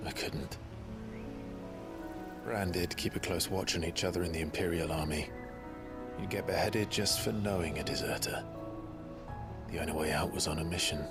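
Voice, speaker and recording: A very deep, brooding young swordsman. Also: fairly even intonation, normal speed, long pauses. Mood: subdued, confessional. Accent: British. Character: brooding, earnest young male fugitive swordsman, courteous and guarded. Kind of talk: dramatic video game acting. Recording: cinematic music behind the voice.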